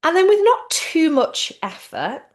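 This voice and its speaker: Slow-paced woman guiding relaxation. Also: extremely high pitch, very animated. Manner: soothing, unhurried, informal. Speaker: yoga nidra teacher. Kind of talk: guided relaxation, gentle spoken instruction.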